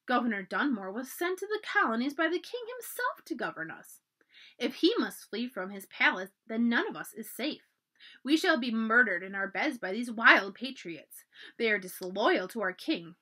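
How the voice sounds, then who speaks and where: A woman's voice: fairly high pitch, sing-song, normal speed, pushed and bright, exaggerated voice. A woman voicing a pompous, gossiping older lady who is easily scandalized, speaking shrilly and dramatically. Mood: alarmed.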